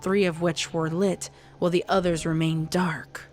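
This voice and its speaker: A woman narrates a scripted story in a dramatic style. Her voice is on the low side for a woman. Music plays clearly behind her. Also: level tone, normal speed, a little mellow.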